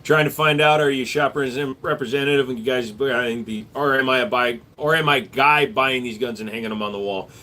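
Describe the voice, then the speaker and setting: Man, mid-pitched voice, casual livestream host, relaxed offhand replies, faint noise behind. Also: a little fast, hardly any pauses. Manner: relaxed, offhand, reads viewer messages aloud. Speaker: gun shop owner and livestream host. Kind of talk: casual livestream answering chat questions.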